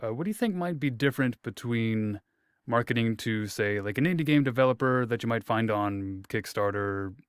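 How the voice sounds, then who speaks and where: A man's voice: medium pitch, expressive, normal speed, clear, resonant tone, dry recording, clean studio sound. A man hosting an interview, asking long rambling questions with self-corrections and a light, joking manner. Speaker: interview host.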